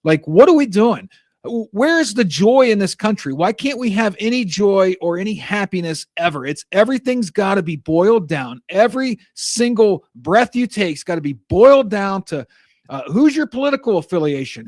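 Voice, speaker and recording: Host for a talk show: young man, slightly higher voice, rambling and exasperated, dry close sound. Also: no echo. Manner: rambling, exasperated, frequent restarts. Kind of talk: political commentary monologue.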